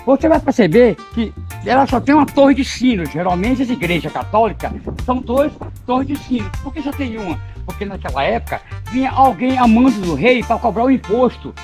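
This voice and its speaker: A man's voice: extremely high pitch, expressive, fairly quick, a little mellow, soft background music. An older man from Bahia, guiding a walking tour outdoors with theatrical, joking storytelling that sometimes stumbles over words. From Bahia, Brazil. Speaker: local tour guide.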